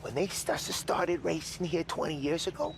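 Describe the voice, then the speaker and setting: Casting: a stammering mechanic, fairly high voice, faint hum behind. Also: male voice, steady tone, moderate pace, creaky. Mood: eager.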